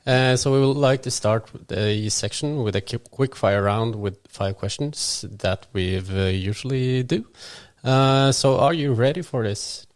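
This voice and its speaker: A podcast co-host asking a guest short quick-fire questions. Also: mid-range voice, fairly even intonation.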